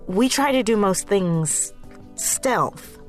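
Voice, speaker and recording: A woman playing a cautious, thoughtful member of a covert team, measured and hesitant, in a fiction podcast. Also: mid-range voice, lively intonation, soft background music.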